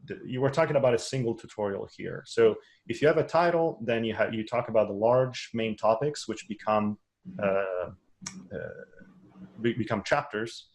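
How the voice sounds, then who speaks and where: A man, not a native English speaker, teaching a webinar lecture in a conversational way with frequent restarts and repeated words. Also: on the low side. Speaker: course instructor.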